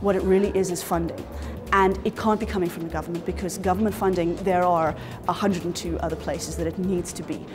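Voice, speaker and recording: A woman's voice: lowish voice, steady tone, a little fast, over music. A South African woman speaking in an interview as an organiser, articulate and explanatory. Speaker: festival organiser.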